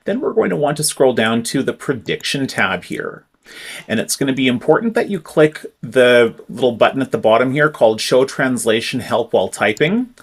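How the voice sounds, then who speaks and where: Man calmly guiding a software tutorial. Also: mid-range voice, normal speed. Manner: clear step-by-step instructive delivery. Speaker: tech tutorial presenter. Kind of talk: screen-recorded software tutorial.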